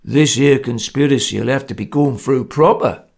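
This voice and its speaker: Gruff ringleader, severe and grim, gravelly voice, as a reader puts him on. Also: male voice, medium pitch, normal speed. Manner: gruff, severe, matter-of-fact. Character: grim stern male ringleader, working-class.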